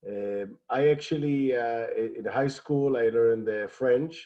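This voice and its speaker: A man whose first language is Hebrew, speaking in a measured, hesitant way with many fillers on a panel. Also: mid-range voice, dull audio. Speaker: program alumnus panelist. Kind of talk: panel discussion.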